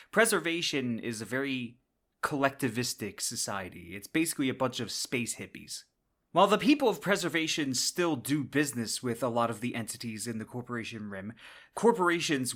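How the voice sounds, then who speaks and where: Guy doing podcast explainer stuff, medium pitch, chatty but punches his points. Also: a bit bright. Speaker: podcast host. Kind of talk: semi-scripted explainer commentary.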